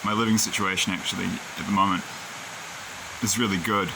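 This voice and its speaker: A man's voice: low-pitched, steady tone, fairly quick, gravelly, noisy background. A man talking casually to his own camera in a rambling, digressive, opinionated way. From New Zealand. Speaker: video creator. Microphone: camera he films himself with.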